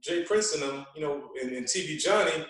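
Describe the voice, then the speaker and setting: A man telling stories in casual conversation as a podcast guest, animated and often repeating words as he goes. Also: fairly high pitch, a little fast, a loud crowd. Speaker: podcast guest, veteran radio host. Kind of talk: casual chat, storytelling. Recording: echoey room, distant from mic.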